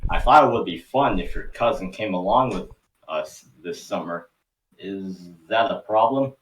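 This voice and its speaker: A man's voice: low voice, unhurried, roomy sound, poor audio. Man playing a hearty, easygoing old grandpa. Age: older.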